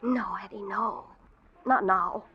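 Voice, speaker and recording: A woman's voice: medium pitch, takes it slowly, over loud music, lightly acted. An American actress playing a guarded, wistful young woman, wry and hesitant, in 1940s radio drama. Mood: soft, tender. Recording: muffled old radio broadcast.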